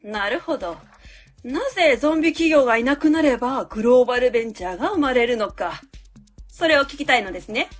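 High-pitched, overbearing woman consultant played by an actress. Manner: didactic, asks and answers own questions. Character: overbearing female consultant lecturer, cold and self-assured. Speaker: actor in a web radio drama. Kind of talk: radio drama acting, delivering a presentation.

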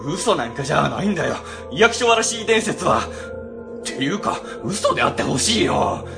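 A man playing a cowardly, dramatic middle-aged section chief in a comedic radio drama, stammering and excitable. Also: fairly high pitch, moderate pace, creaky, music in the background. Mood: frightened. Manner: stammering, excitable, exaggerated frightened delivery.

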